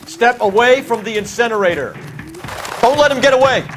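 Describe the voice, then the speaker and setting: A man's voice: very high-pitched, normal speed, a bit bright, slight background noise. A man playing an earnest, bookish young American police detective in a game, clipped and precise. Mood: urgent. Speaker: video game voice actor. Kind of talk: scripted detective drama acting.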